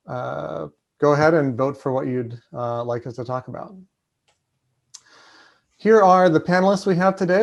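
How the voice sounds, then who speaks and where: A man hosting an online panel over a video call, talking in a relaxed way with many fillers. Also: a little high. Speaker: host, professor.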